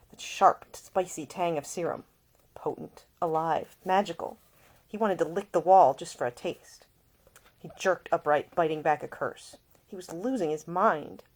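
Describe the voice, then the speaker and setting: A woman's voice: low voice, a little mellow. A woman author presenting and reading her own fiction at home in an informal storytelling style. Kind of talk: informal introduction, then expressive audiobook narration.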